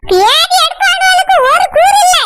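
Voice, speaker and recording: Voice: very high-pitched, expressive, normal speed, heavy background noise. A performer voicing an irritable older woman with a headache, bossy and scolding.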